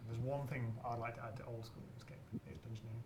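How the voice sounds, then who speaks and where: A man speaking quickly in casual, unscripted conversation as a programmer guest on a panel. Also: mid-range voice, level tone, very noisy. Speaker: former game engine programmer, panel guest.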